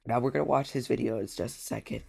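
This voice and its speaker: A young man tells a gaming storytime in a loose, slangy way, with the stuffed-up sound of a cold. His voice is gravelly at a middle pitch. He often trips over his words and repeats himself before moving on. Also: fast talker, a little mellow. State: congested from a cold. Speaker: gaming YouTuber. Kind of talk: casual storytime commentary.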